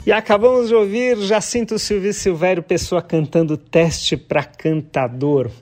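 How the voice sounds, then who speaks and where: A man presenting songs on a radio music show, chatting in a relaxed way with small asides. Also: high-pitched, moderate pace.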